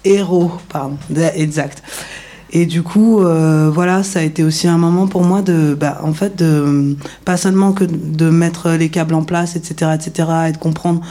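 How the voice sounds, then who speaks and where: A woman's voice: deep voice, steady tone, normal speed, warm tone. A woman talking casually in a round-table discussion, searching for her words as she goes. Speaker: radio workshop participant.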